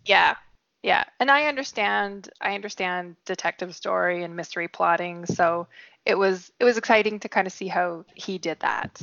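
A woman's voice: on the low side, normal speed, creaky, fairly bright tone, highs cut off. A woman from Canada with a British Columbia accent, a guest author chatting casually and a little hesitantly on a podcast over a video call.

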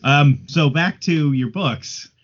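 A man's voice: fairly high pitch, slow, dull audio. A man co-hosting a podcast who teases the others in a playful, casual way. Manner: teasing, playful. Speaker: podcast co-host.

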